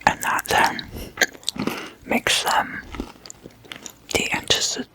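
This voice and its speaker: An ASMR host whispering throughout in a hushed, unhurried, explanatory way.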